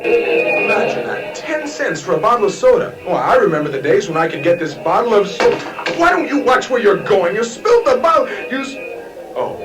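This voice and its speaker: A man's voice: high-pitched, big pitch swings, fairly quick, rough recording. A man playing a grumbling, gullible, melodramatic uncle in a 1950s radio sitcom, flustered and wisecracking. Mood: grumbling, irritated. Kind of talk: 1950s radio comedy acting. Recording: old radio recording with loud music.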